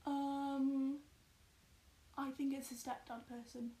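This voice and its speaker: A woman's voice: high-pitched, little pitch movement, takes it slowly, warm tone. A young British woman co-hosting a casual true crime chat, teasing her friend with dry jokes. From Britain. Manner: dry, teasing, joking asides. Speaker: co-host. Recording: echoey room.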